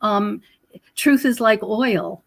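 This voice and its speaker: A mature woman with a mid-pitched voice talks as a guest in a conversational interview. She explains at length, pausing and circling back over her phrases. The sound is dry, with very little room in it. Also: gravelly, no echo. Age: middle-aged or older. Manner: rambling, explanatory, with frequent pauses and repeated phrases. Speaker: podcast guest, researcher and author. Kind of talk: conversational spiritual commentary in an interview.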